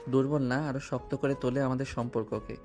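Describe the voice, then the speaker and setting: A man's voice: mid-range voice, steady tone, fairly quick, a little mellow, natural voice. A male voice actor playing a playful, devoted young husband in an audio romance drama. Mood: sulky. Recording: music bed underneath.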